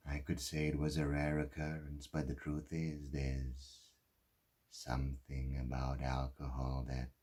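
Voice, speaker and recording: Man acting a very deep-voiced, guilt-ridden husband. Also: dark tone, slightly rough, exaggerated voice. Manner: slow, halting, confessional delivery with heavy pauses. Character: guilt-ridden husband who caused a fatal drunk-driving crash. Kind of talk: dramatic monologue performance.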